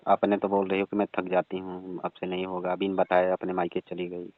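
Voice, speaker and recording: A man's voice: low voice, completely flat delivery, brisk, dry recording, lightly acted. A man playing a domineering, dismissive elderly father-in-law badgering with questions in a staged, conversational phone call. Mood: challenging. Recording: dull, band-limited phone call recording.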